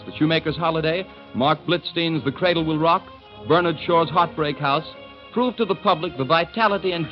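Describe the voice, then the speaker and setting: Formal 1930s radio announcer, man. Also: on the high side. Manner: formal, measured. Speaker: network announcer. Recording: muffled 1930s radio with faint music.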